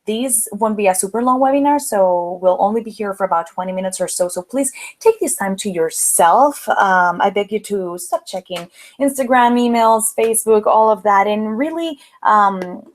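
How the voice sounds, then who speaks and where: A woman's voice: mid-range voice, normal speed. A young woman from the Dominican Republic with a Dominican Spanish accent, leading a motivational webinar in an upbeat, chatty way. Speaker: webinar host, planner business owner. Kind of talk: live webinar presentation.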